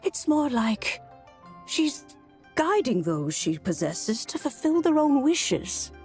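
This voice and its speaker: A woman voicing a serene, knowing immortal in a video game, reflective and deliberate. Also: sits high, very animated, darkish tone, soft background music, heavily acted. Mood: serene, thoughtful. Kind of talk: anime-style video game voice acting.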